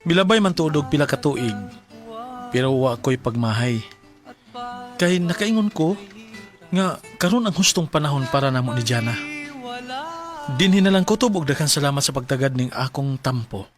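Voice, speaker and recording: A man's voice: mid-range voice, lively intonation, normal speed, long pauses, over music, close to an everyday voice. A man with a Cebuano accent acting a sincere, lovestruck young working man who also narrates his story in a radio drama. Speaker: radio drama actor and first-person narrator.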